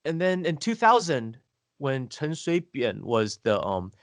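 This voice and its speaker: A man hosting a podcast, explaining history in a steady way and asking his guest leading questions. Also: mid-range voice, measured pace, dry recording, studio quality. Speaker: podcast host. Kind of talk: conversational history discussion.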